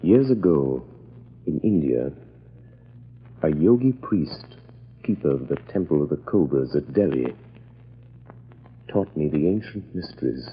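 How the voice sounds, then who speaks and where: An actor playing a calm, grave crime fighter with measured, dramatic delivery in an old-time radio drama. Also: male voice, very deep voice, breathy edge, lo-fi sound, full character voice. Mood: grave, mysterious. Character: mysterious crime-fighting man, calm, grave, secretly invisible avenger. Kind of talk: 1930s radio acting.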